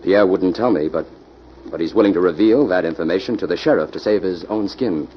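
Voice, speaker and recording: A man's voice: sits low, brisk, natural voice. A man playing a confident, authoritative city detective in an old-time radio drama. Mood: measured. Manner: authoritative, clipped instructions. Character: confident, commanding male city detective hero. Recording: muffled old radio recording, faint noise.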